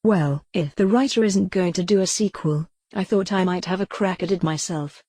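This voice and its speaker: Lowish mellow tts woman doing a calm deadpan lady computer that thinks it's a cat, comedy drama. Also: normal speed, dry recording, close to an everyday voice. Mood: dry, whimsical. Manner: deadpan synthetic text-to-speech delivery. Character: calm, wry female retro computer who thinks she's a cat. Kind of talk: scripted audio comedy drama.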